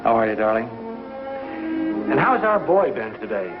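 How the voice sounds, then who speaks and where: An actor playing a devout, affectionate and persuasive pioneer husband in a 1950s television western. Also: male voice, medium pitch, sing-song, normal speed, lightly acted. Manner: earnest, persuasive. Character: devout, earnest frontier husband and father, affectionate. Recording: muffled 1950s TV soundtrack, music behind.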